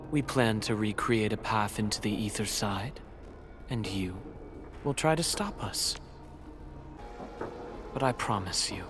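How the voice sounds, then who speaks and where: An actor playing a courtly, enigmatic video game villain who professes devotion, with formal, theatrical phrasing. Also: male voice, medium pitch, background noise, lightly acted. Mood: calm, persuasive. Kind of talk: video game story voice acting. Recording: dramatic music behind the voice. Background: game music.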